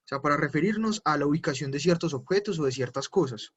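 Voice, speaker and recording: A man's voice: on the high side, lively intonation, fast talker, husky. A man teaching German in an online class, explaining patiently step by step with frequent fillers. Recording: dull lo-fi online class audio.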